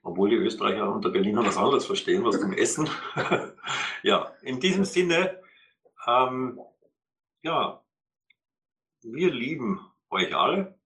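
Bright-voiced man hosting. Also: mid-range voice, measured pace, creaky, pauses often, low-quality recording. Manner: rambling, laughs between phrases. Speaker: host. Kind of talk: closing remarks to an audience.

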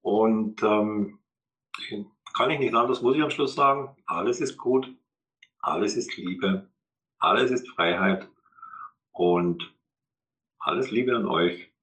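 A man's voice: fairly low pitch, slow, pauses often, fairly bright tone, rough recording. A man as host giving rambling closing remarks to an audience, laughing between phrases.

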